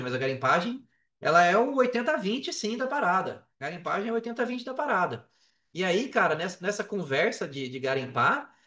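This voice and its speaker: A Brazilian man telling his story casually as a podcast guest, in an animated, slangy way. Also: on the high side. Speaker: podcast guest, affiliate marketer. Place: indoors on an online call. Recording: roomy echo on a call.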